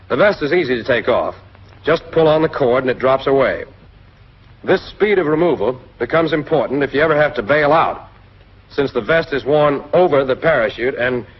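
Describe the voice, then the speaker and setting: An American man delivers 1940s instructional military narration in a direct, instructive manner. His voice is quite husky and rough-edged, at an ordinary male pitch. The sound is a dull, lo-fi vintage film soundtrack. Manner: instructive, direct second-person address.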